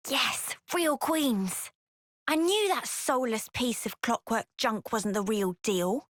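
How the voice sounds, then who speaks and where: A spirited, outspoken young woman soldier, has a high voice and very slow delivery. She is blunt and informal, recorded dry in a game cutscene. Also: no echo. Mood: scornful. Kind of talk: video game cutscene acting. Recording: music behind the voice.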